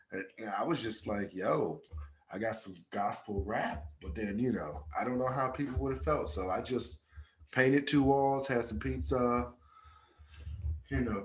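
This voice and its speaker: A man's voice: mid-range voice, a bit bright. A man with a stuffed-up, congested voice, telling stories in a laid-back, slangy way as a podcast co-host. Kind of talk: casual chat.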